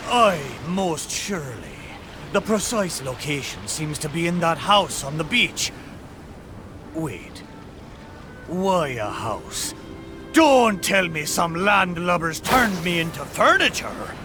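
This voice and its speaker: Swooping, salty pirate ship spirit. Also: male voice, on the high side, very animated, takes it slowly. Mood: puzzled indignation. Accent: stage pirate brogue. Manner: theatrical, hearty, archaic sailor phrasing. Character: sentimental old talking shipwreck spirit, salty pirate sailor. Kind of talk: video game character acting. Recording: game music behind the voice.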